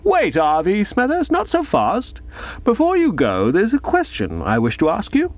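A man voicing a tipsy, haughty, belligerent young gentleman with drawn-out, accusing emphasis in a comic audiobook. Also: high-pitched, huge pitch swings, dull audio, pitched above the performer's own voice, full character voice. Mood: cocky. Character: tipsy, cocky, belligerent young gentleman handing out school prizes.

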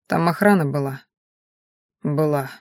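An anguished werewolf man from a fantasy audiobook, acted out by a woman, frantic and guilt-ridden. The voice is strained and close to breaking, with halting, desperate phrases in a dry recording. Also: sits low, darkish tone, no echo, pitched below the performer's own voice. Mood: numb, hollow. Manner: desperate, halting, repeating himself. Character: anguished adult male werewolf, frantic, half-mad with guilt.

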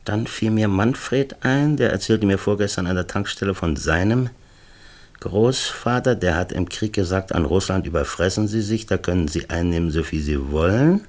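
An actor playing a distracted, fussy middle-aged screenwriter in a radio drama, musing haltingly and repeating himself. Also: male voice, low voice, darkish tone, natural voice. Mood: searching, rambling. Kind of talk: naturalistic radio drama acting.

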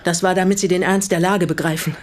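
An actress in a German radio play playing an older, vengeful widow who speaks formally with biting sarcasm and cold resolve. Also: female voice, fairly low pitch, fairly even intonation, quick pace, heavy background noise, natural voice. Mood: stern, menacing.

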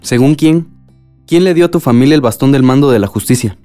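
A man's voice: mid-range voice, fairly quick, warm tone, soft background music, close to an everyday voice. An actor with a Mexican accent playing a stubborn, defensive young man in a radio drama. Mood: insistent.

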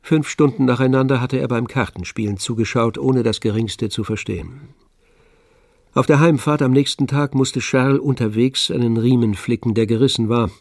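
A man narrating a literary radio drama from a script in a measured storytelling manner. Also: mid-range voice, steady tone, dry recording, studio quality. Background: music with violin.

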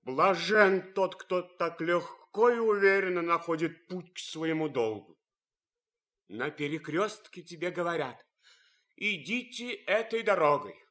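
Tormented idealist soldier, radio play, high bright voice, slow and theatrical, swings all over. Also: male voice, animated. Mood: anguished, pleading. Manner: theatrical, swinging from hushed to fervent. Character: anguished idealistic soldier, torn between duty and conscience. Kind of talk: radio drama acting, impassioned monologue.

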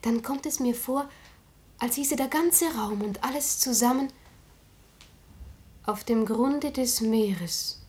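A woman's voice: on the high side, slow, long pauses, darkish tone. An actress playing a shy, dreamy young girl in a German radio drama, speaking earnestly and simply with childlike wistfulness. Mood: wistful.

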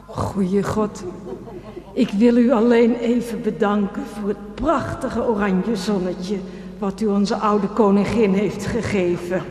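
A woman's voice: medium pitch, mellow, natural voice. A woman acting a simple, grateful churchgoer in a radio play, praying earnestly and formally in an echoey hall. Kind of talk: satirical radio play prayer. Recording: echoey hall with long reverb.

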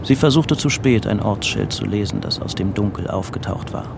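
A man's voice: animated, normal speed, background noise. A man narrating a literary radio drama about a tense road trip in a scripted storytelling style. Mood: measured, brooding. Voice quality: low, creaky.

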